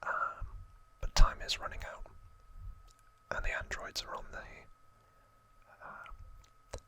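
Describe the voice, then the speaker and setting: A man whispers slowly as an ASMR host. His voice is all breath, with crisp, very bright sibilant edges. He keeps a gentle, hushed narration, lingering on words. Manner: slow, gentle, hushed delivery with drawn-out words.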